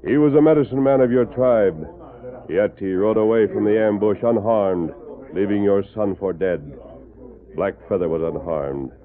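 An actor playing a commanding, righteous masked western hero, with formal, declamatory phrasing in old radio style. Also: male voice, on the low side, moderate pace, close to an everyday voice. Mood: accusing. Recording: narrow-band old radio recording, faint music.

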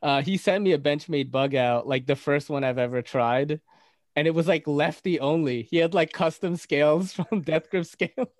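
A man's voice: a little high, moderate pace, dry recording, studio quality. A man with a Filipino accent hosting a podcast in casual, slangy chat, laughing often. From Philippines. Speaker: podcast host.

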